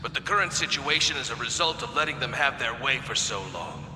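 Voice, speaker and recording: A man's voice: mid-range voice, lively intonation, a little fast, noisy background, lightly acted. A man voicing a smug, condescending, insinuating senator in a video game. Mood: disdainful. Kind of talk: video game cutscene acting. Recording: echoey chamber with long reverb.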